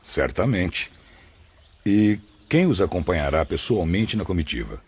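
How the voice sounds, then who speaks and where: Man playing a deep, husky, courteous spirit instructor. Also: very animated, measured pace, darkish tone, lo-fi sound, exaggerated voice. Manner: formal, deferential. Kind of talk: audiobook drama acting.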